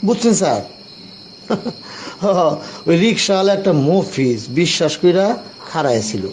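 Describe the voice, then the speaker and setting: A man's voice: sits high, big pitch swings, unhurried, a little mellow, a little hiss or hum. A man playing a bossy, self-important building watchman in an audio drama, talking in colloquial Dhaka Bangladeshi speech. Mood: deferential, explaining. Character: bossy building night watchman, blustering at underlings, deferential to superiors.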